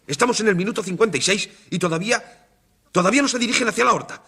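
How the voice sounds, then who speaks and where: An actor playing a tense doctor in command of a desperate mission in a radio drama. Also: male voice, sits high, very fast, vocal fry, bright, forceful tone, breathy edge, full character voice. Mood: agitated, alarmed. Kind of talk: vintage Spanish radio drama acting.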